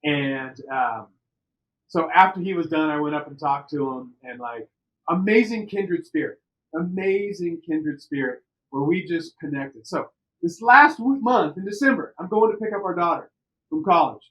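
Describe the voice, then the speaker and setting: A middle-aged man from the United States telling personal stories on a podcast in an animated, conversational way, repeating words for emphasis. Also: fairly high pitch, moderate pace, lo-fi sound. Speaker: podcast interview participant. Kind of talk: personal storytelling.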